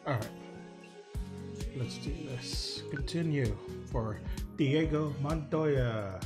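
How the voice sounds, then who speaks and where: A man with a mid-pitched voice livestreams a game in a casual, informal way. He reads the dialogue aloud, a little hesitant and prone to stumbling, with faint game music playing underneath. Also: takes it slowly. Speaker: game streamer.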